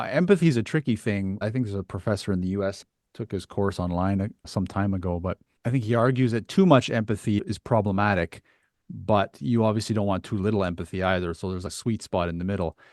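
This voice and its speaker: A man's voice: lowish voice, dry recording, studio quality. A man hosting a casual, reflective discussion, musing tentatively as he puts his ideas forward. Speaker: podcast host.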